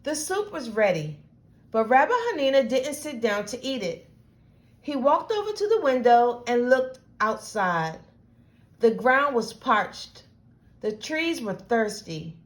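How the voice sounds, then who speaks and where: A woman reading a picture book aloud to children as host, with deliberate, dramatic phrasing. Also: fairly high pitch, big pitch swings.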